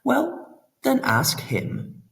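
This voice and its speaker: Give me a man doing a teasing, theatrical trickster god, slow and raspy. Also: extremely high pitch, wildly swooping pitch, fairly bright tone, distant mic, pitched above the performer's own voice, full character voice. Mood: lofty. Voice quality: playful, theatrical, prattling. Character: sly tipsy male trickster god, mocking and teasing. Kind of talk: audiobook character voice.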